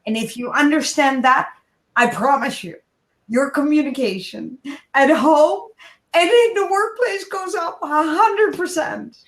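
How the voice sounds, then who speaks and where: A woman with a high voice talks in an animated, coaching way, stressing her points and turning to address listeners directly. Her voice rings in a roomy, echoing space. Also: a little slow. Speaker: guest, kindness speaker. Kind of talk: animated advice-giving interview talk.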